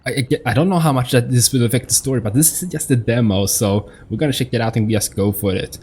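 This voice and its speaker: A man's voice: mid-range voice, quick pace, a little mellow, husky. A man giving casual game commentary as a let's-player, hesitant and often repeating himself while searching for words. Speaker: gaming host, let's-player.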